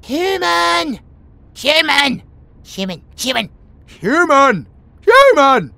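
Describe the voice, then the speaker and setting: A man's voice: very high-pitched, big pitch swings, very slow, gravelly, bright, forceful tone, no room sound, exaggerated voice. A British man voicing a haughty, bratty but secretly affectionate young tiger boy in a comedic audio roleplay, with pompous, theatrical outbursts. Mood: indignant.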